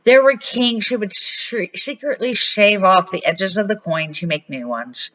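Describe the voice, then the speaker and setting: A woman's voice: mid-range voice, unhurried, sharp tone, lo-fi sound. An older woman reading the part of a patient old grandfather who explains things emphatically in a children's storybook. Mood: grave, emphatic. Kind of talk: storybook read-aloud.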